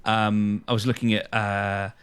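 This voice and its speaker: A British man with a fairly low voice chats as a podcast co-host. His delivery is informal and hesitant, often repeating words and letting thoughts trail off before picking them up again. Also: little pitch movement, measured pace, a bit bright, clear, resonant tone. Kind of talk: casual chat.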